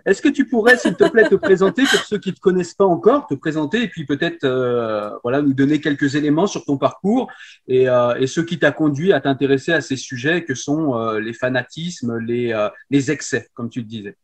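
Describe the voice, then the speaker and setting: A man's voice: on the high side, brisk. A man hosting an interview, asking friendly questions with some hesitation. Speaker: interviewer, channel host.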